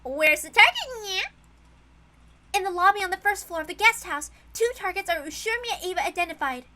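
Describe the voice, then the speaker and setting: Woman as magical sniper girl, eager, clipped reports, squeaky high, bright, visual novel fantasy. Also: lively intonation, a little fast, exaggerated voice. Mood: businesslike, alert.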